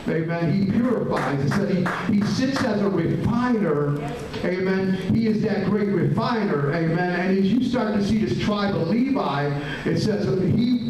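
A middle-aged man preaching a sermon in a small church hall, adding "amen" after nearly every phrase, with halting restarts. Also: high voice, a little mellow, slight background noise. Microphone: handheld mic, filmed from a far camera. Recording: very echoey room, distant camera.

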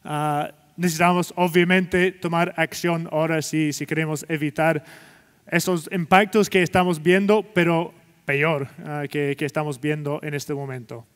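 Non-native Scottish keynote speaker in Chilean Spanish, higher-pitched man, halting delivery, reverberant hall. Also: steady tone. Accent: Scottish, speaking Spanish learned in Chile. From Scotland. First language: English. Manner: hesitant, many "ah" fillers and restarts. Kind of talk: conference keynote talk. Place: large conference stage with a long reverb.

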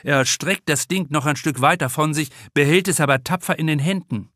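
A man narrating a comic crime novel with deliberate, expressive storytelling in a studio. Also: on the high side, no room sound. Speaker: author reading his audiobook.

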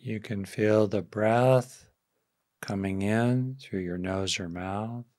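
A man leading a guided meditation as a teacher, speaking gently and softly in short phrases with long pauses. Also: lowish voice, no room sound, clean studio sound.

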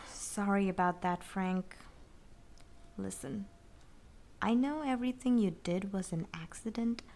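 A woman's voice: medium pitch, slow pace, long pauses, darkish tone. A woman playing a tired, firm but fair school principal in an amateur comic dub. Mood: apologetic. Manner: sighing, firm.

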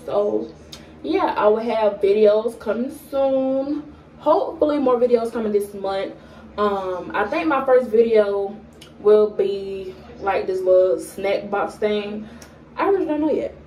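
A young woman, chatting to camera in a relaxed way, with many fillers and an occasional stutter. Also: medium pitch, recorded from a distance. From Atlanta, Georgia. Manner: unhurried, with frequent fillers and pauses; stutters at times. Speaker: vlogger. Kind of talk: casual get-to-know-me chat to camera. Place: small indoor room at home. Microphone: camera in front at arm's length. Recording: echoey room, voice a bit off-mic.

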